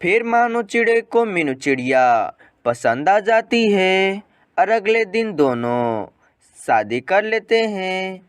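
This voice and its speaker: A man's voice: high voice, sing-song, slow pace. A man narrating a scripted animal fable for a cartoon as its storyteller.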